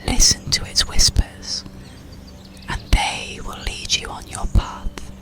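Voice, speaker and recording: A storyteller playing a slow, whispering wise old woman. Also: natural voice. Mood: grave, gentle. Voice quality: soft, whispered. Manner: slow, solemn, gentle. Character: wise, gentle elderly woman dreamweaver giving counsel.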